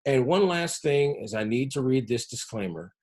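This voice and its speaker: A man giving an educational presentation as a public health presenter, explaining things in a measured way. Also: mid-range voice, lively intonation, darkish tone. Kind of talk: educational presentation on health-focused game design.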